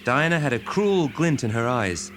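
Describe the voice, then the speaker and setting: A man's voice: mid-range voice, animated, normal speed, a bit bright. A man narrating a dramatic story from a script. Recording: music behind the voice.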